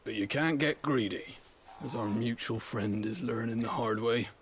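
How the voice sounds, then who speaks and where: A man's voice: medium pitch, normal speed, a little hiss or hum, close to an everyday voice. Man playing a wry stable master. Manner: wry, folksy, blunt. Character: gruff, wry male stable master, chatty working man. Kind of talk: video game voice acting. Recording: game music behind voice.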